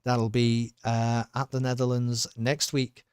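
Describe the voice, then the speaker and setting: Man commentating, voice going hoarse. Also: medium pitch, a little slow, dry recording, clean studio sound. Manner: excited, rambling, with many uh fillers. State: losing his voice. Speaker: sim racing commentator and streamer. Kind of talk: live race commentary and stream sign-off.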